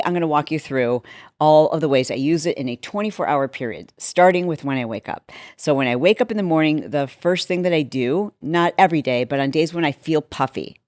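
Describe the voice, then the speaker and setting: A woman in her fifties speaks in a low, slightly hoarse voice. She chats casually and candidly, like a host walking viewers through a personal routine. The recording is dry and close, with very little room sound. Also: a little mellow, dry recording. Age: 50s. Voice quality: deepened, slightly hoarse voice from a vocal cord injury. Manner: candid, conversational, with acted-out asides. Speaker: health and lifestyle show host.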